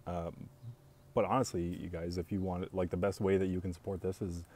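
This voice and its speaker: A young man hosting a casual live stream, talking in a calm, even monotone, slow and reassuring toward his viewers. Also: low-pitched, husky. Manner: speaks slowly and reassuringly to viewers.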